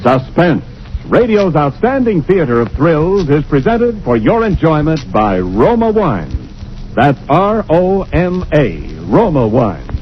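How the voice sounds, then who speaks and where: A man's voice: a little high, very animated, takes it slowly. A man working as a radio announcer, introducing a thriller and selling the sponsor in dramatic 1940s style. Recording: lo-fi 1940s radio broadcast with music.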